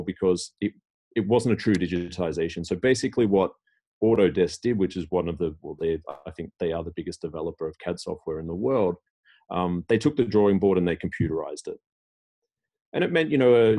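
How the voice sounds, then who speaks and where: A very low-pitched middle-aged man, a guest from Australia talking through his career in a podcast interview. His tone is dark and mellow, and he rambles with lots of fillers and self-restarts. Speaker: tech executive guest. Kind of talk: casual interview talk.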